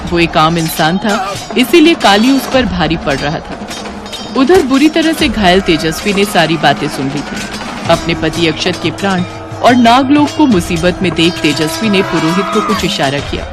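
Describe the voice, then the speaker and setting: उतार-चढ़ाव भरी आवाज़ में महिला कथावाचक. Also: female voice, fairly low pitch, very animated, normal speed, over music. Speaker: story narrator. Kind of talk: dramatic scripted fantasy narration.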